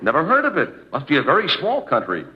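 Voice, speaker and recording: An actor playing a brisk, skeptical newspaper reporter in a 1950s radio comedy. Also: male voice, a little high, wildly swooping pitch, heavy background noise. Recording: muffled old radio recording.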